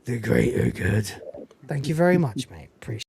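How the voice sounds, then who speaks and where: A man's voice: medium pitch, normal speed, creaky, slightly rough. A man hosting a casual film review, talking animatedly and swearing now and then. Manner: animated, quotes film lines with put-on voices and swearing. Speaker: podcast host.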